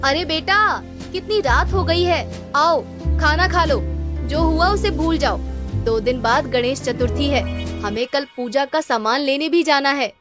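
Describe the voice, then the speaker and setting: A kind but strict, devout village mother put on by a woman narrator for a cartoon story. The voice is pitched high, with music underneath. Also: a little slow. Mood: kind, caring. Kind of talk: children's cartoon story voice acting.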